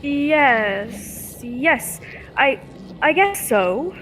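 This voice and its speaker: A woman's voice: sits high, animated, very slow, fairly bright tone, exaggerated voice. A young woman playing a curious, admiring assistant to a scientist in an old-time radio serial. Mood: eager. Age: teenager or young adult. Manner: inquisitive, eager. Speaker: student actor. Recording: faint background noise.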